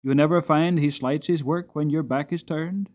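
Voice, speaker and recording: A man voicing a grave but kindly Victorian master of the house in an audiobook, measured, formal and genial. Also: fairly high pitch, steady tone, phone-quality sound, pitched above the performer's own voice, natural voice. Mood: grave, questioning. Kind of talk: dramatic audiobook character reading.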